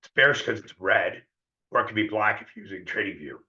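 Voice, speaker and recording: A hoarse man with a lowish, bright and edgy voice talks viewers through a trading lesson, repeating himself and asking them to confirm they can follow. The sound is roomy and dull, like a lo-fi online session. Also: expressive, fairly quick. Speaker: trading educator.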